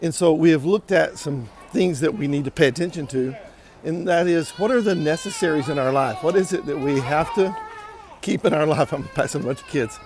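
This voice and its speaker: A man giving a casual motivational monologue as a vlog host while walking outdoors, deliberate and repeating phrases for emphasis. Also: a little high, crowd noise. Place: outdoors, walking on city streets. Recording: clear outdoor street noise.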